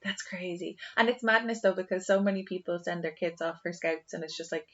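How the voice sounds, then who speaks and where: A woman with an Irish accent chatting casually as a podcast co-host, expressive and repeating words for emphasis. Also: on the low side, brisk. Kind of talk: casual chat. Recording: slightly dull sound.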